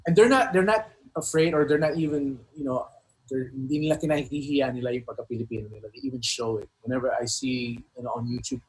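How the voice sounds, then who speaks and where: A man talking casually as an interview guest, halting and earnest, often repeating himself. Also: medium pitch, rough recording. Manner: rambling, earnest, halting, many repeated phrases. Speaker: hip-hop artist being interviewed. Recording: noticeable background noise.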